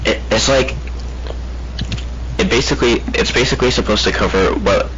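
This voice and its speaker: A man chatting casually during gameplay in a halting, rambling way, recorded on a muffled mic. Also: fairly low pitch. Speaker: gamer playing Roblox. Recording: muffled mic with clear background noise.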